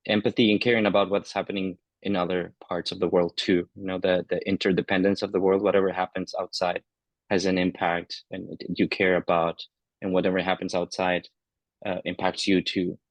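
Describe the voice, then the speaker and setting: Very deep-voiced male panel moderator. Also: flat delivery, brisk, dry recording.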